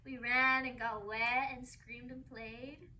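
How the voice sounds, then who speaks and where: A woman's voice: a little high, little pitch movement, slow, bright, forceful tone, exaggerated voice. A young woman student actor playing a migrant farm girl remembering family life in a theatrical oral history piece. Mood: reminiscing. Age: young adult. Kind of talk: theatrical two-person oral history storytelling. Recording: distant mic, faint room noise.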